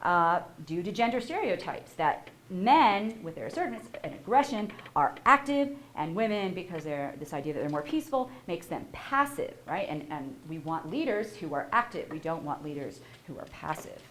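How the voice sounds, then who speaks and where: A woman giving a university lecture, animated and asking the audience questions as she goes. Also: on the low side, moderate pace, hardly any pauses, a little hiss or hum. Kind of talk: public lecture. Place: lecture hall.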